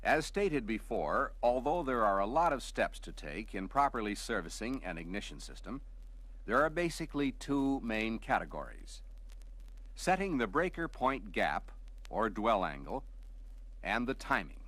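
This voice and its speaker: A man narrates scripted instructional material in a measured, explanatory way, like a training film narrator. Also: lowish voice, very animated, a bit bright. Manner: measured, explanatory, clearly structured delivery.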